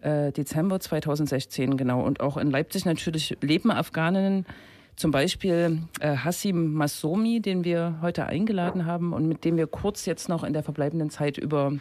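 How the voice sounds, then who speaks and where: A man's voice: on the high side, normal speed, darkish tone. A man hosting a radio show, talking informally with many fillers as he moves into an interview. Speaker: radio host. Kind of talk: casual radio chat and interview.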